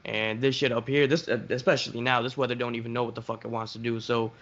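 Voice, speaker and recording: A man's voice: mid-range voice, flat delivery, quick pace, a bit bright. A young man from Bangladesh hosting a podcast interview, casual and laid-back, swearing freely and calling his guest "bro". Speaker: podcast host. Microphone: video call. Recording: muffled call audio.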